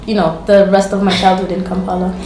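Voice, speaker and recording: A woman's voice: on the low side, level tone, fast talker, darkish tone. Young East African woman in an echoey room. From Kenya and Uganda. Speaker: interview guest, student. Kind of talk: casual chat. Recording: roomy echo, noticeable background noise.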